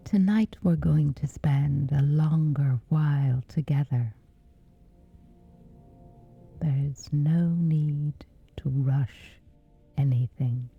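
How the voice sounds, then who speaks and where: A woman with a whispery voice, slowly and gently guiding a sleep meditation. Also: sits low, gravelly, slightly rough, narrow, tinny audio, soft background music. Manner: slow, soothing, unhurried. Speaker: meditation guide.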